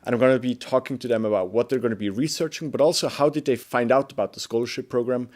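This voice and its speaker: A man hosting an interview show, introducing guests and asking relaxed, friendly questions. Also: medium pitch, quick pace. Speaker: host.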